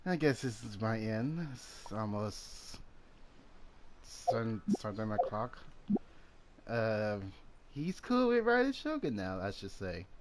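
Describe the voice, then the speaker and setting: A man giving casual gameplay commentary with playful, mock-dramatic asides. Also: medium pitch. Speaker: gaming video host. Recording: clearly noisy home recording.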